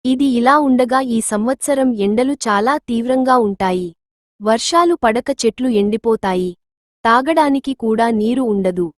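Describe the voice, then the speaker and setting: A woman's voice: on the high side, unhurried, dry recording, clean studio sound. A woman reading scripted narration for a moral story.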